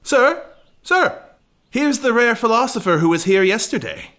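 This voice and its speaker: A man's voice: extremely high pitch, animated, moderate pace, fairly bright tone. A man playing a scheming, indignant servant with exaggerated archaic bluster in a period audio farce. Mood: sly, smooth. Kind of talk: farcical period audio drama acting.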